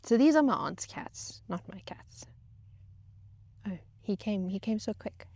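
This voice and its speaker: Casting: female vlog host, chatty and wry, unhurried halting delivery, dry close recording. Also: medium pitch, expressive, gravelly, darkish tone, no room sound. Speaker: vlog host telling stories. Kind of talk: casual personal storytelling.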